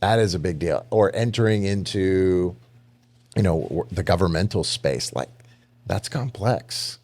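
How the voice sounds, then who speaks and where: A man's voice: sits low, animated, unhurried, a little mellow. A man hosting a podcast interview, chatty and affirming, asking long rambling questions. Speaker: podcast host. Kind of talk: casual conversational interview.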